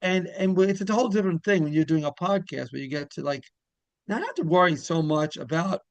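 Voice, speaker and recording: A man hosts a casual interview in a gravelly, creaky voice pitched a little high. He asks loose, rambling questions in a conversational way, recorded close and dry. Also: brisk, no room sound. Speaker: interviewer, show host.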